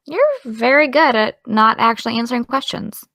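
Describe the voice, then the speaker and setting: A woman's voice: on the high side, normal speed, creaky, pushed and bright, dry recording. Actress doing a needling young american woman, kinda petulant, audio drama, very dry sound. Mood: indignant, provoking. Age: young adult.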